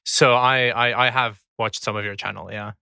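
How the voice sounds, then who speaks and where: A man's voice: medium pitch, fairly even intonation, normal speed, creaky, pushed and bright, smooth, dry recording. A man whose first language is Afrikaans, a guest on a casual podcast, who laughs easily and repeats words when starting sentences.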